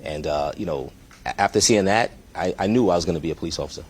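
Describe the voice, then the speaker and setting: A man in his forties, a police chief answering press questions in a measured, hesitant way with many fillers. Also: low-pitched, darkish tone, husky.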